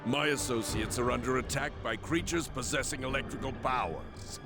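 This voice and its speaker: A man's voice: medium pitch, normal speed, creaky, close to an everyday voice. A man playing a suave, menacing crime boss in a video game, formal and coolly condescending in his politeness. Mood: coldly commanding. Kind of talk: video game cutscene acting. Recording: loud music under the voice.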